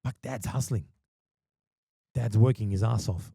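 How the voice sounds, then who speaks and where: A man's voice: lowish voice, unhurried, gravelly, warm tone, breathy edge, no room sound, studio quality. A man, a wedding MC and podcast guest, talking passionately and emphatically, often repeating words as he starts a thought. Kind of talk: casual podcast chat.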